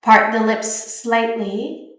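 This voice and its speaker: A woman leading a guided meditation, giving gentle, soothing instructions in an unhurried way with pauses. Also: fairly high pitch, steady tone. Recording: very echoey, reverberant room.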